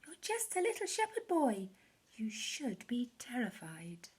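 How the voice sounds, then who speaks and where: Woman voicing frightened, scolding big brother, swooping high. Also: wildly swooping pitch, measured pace, a little mellow, husky, exaggerated voice. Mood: alarmed, warning. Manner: alarmed, warning, scolding. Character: frightened older brothers of a young shepherd boy.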